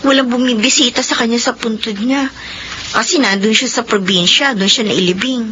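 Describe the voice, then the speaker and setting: A woman playing an eager schoolgirl daughter who longs for her dead father, in expressive Filipino radio drama style. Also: on the high side, moderate pace. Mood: wistful. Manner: expressive, girlish. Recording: muffled, noisy radio drama recording.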